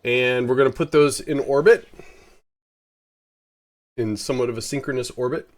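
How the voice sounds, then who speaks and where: A man's voice: medium pitch, steady tone, normal speed. A man with a head cold giving rambling, casual commentary while live-streaming a space game, often correcting himself.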